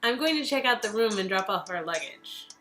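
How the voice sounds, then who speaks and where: A woman's voice: medium pitch, animated, fairly quick, fairly bright tone, faint music behind, voice pushed down, lightly acted. A woman playing a playful, affectionate young woman in an interactive story game, with a theatrical, teasing manner. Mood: soft, playful.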